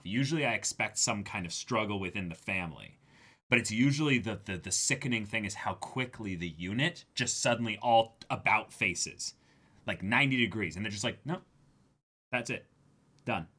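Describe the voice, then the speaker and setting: A man co-hosting a manga reading stream, talking casually in an emotive, halting and emphatic way. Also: fairly low pitch, pushed and bright, slightly rough. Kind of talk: casual livestream chat and reactions.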